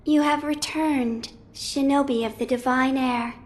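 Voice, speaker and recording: A woman playing a gentle young holy maiden in a video game, speaking in a formal, measured and delicate way. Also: high voice, fairly even intonation. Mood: serene, solemn. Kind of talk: video game cutscene acting.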